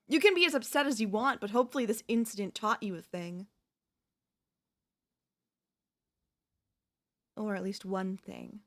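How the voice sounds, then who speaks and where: A woman playing a possessive, manipulative wife in an ASMR audio roleplay, speaking to the listener in a sweetly condescending, deliberate, theatrical way. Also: on the high side, a bit bright. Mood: coaxing, veiled threat. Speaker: voice actress.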